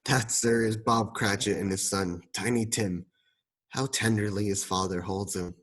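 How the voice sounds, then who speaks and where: Man acting a humbled old miser, slightly low voice, formal, earnest, radio drama. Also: steady tone, measured pace, a bit bright. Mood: moved, observing.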